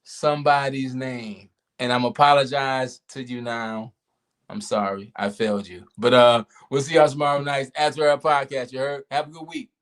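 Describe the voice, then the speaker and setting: An easygoing man hosting a podcast, talking in a relaxed, casual way. His voice sits in an ordinary middle range and sounds a little hoarse, as if he has been talking for hours. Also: mid-range voice, normal speed. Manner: laid-back, relaxed sign-off talk. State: losing his voice. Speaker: podcast host.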